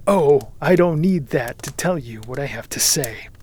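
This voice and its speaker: A man's voice: on the high side, animated, unhurried, slight background noise. A man voicing a deluded, grandiose, angry young man with emphatic, commanding declamation in an audiobook. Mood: furious. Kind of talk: audiobook character acting.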